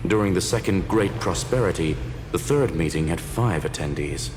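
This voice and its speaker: An actor playing a grave, cautious intellectual in a video game, speaking formally and deliberately. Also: male voice, low voice, expressive, roomy sound, background noise. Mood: matter-of-fact. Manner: formal, deliberate, matter-of-fact. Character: grave, courteous male intellectual, cautious and disapproving. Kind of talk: scripted video game voice acting.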